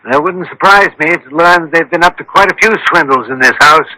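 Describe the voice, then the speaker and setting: A very bright, forceful male voice, set a little high and recorded dry. a shrewd gentleman detective in a radio mystery, exacting and authoritative, with a touch of pomposity. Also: brisk, no echo, poor audio. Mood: calm, deductive. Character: shrewd, commanding gentleman detective doctor, self-assured and exacting. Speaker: radio drama actor.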